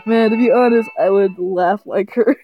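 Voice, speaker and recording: A woman's voice: medium pitch, normal speed. Casting: amused female streamer reading visual novel text aloud, mid-range voice, slightly muffled recording with game music. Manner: giggly, amused, often stumbling over reading. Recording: slightly dull sound, game music behind.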